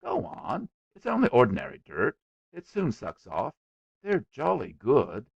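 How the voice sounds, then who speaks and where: Man acting young schoolboy, stubborn, self-important, earnest, colloquial, storybook character, animated intonation. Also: medium pitch, a little slow, gravelly, husky, no echo, highs cut off, voice pushed up, exaggerated voice. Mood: eager.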